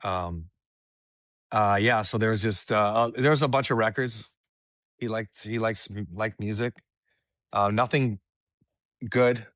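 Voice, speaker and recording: Canadian male guest, lower voice, relaxed storyteller, dry sound. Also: unhurried, long pauses, no room sound. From Vancouver, Canada. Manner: laid-back, laughs often, many fillers. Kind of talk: casual interview chat.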